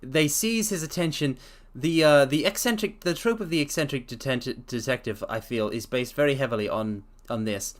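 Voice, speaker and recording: A man giving a dramatic storybook reading, theatrical and playful, with excited chatty asides. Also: medium pitch, normal speed. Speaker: streamer reading aloud.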